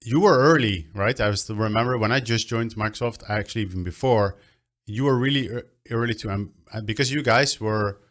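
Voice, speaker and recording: Upbeat man hosting a show, lowish voice. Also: brisk, a bit bright. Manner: enthusiastic, upbeat. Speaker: podcast host. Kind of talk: casual video-call interview. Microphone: video call.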